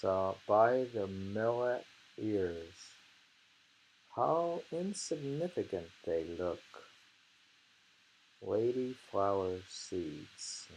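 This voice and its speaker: A man reading poems aloud and chatting about them casually, in a musing, deliberate manner. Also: lowish voice, gravelly, darkish tone, a little hiss or hum, rough recording. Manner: slow, musing, often repeats lines deliberately. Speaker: host reading a poetry book aloud.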